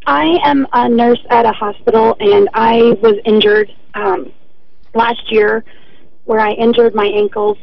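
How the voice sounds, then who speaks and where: A woman with a hoarse, partly lost voice, calling in to a radio show and explaining her problem carefully. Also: on the high side, fairly even intonation, a little slow, highs cut off. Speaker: caller. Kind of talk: phone-in caller.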